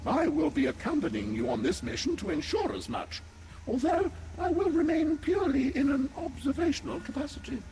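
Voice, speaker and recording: Very high, fussy alien scientist. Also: male voice, sing-song, brisk, heavily acted, low-quality recording. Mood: proud, eager. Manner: formal, verbose, pedantic. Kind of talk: scripted video game character acting.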